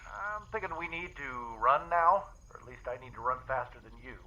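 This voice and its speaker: A man playing a brisk, impatient space traveller in a sci-fi comedy audio drama. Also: medium pitch, expressive, sharp tone, dull audio. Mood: urgent.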